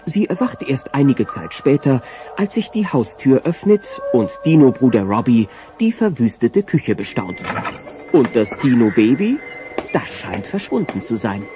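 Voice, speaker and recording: A man narrating a children's audio play as an animated, dramatic storyteller with mock suspense. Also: mid-range voice, a little slow. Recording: muffled old cassette audio play.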